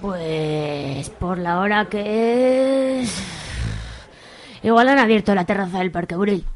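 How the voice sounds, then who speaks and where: A fun-loving middle-aged mother out on a spree, played by an actress in a comic radio soap. Her medium-pitched voice is breezy and full of slang. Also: slow, natural voice. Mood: cheerful, tipsy. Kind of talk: radio soap comedy acting.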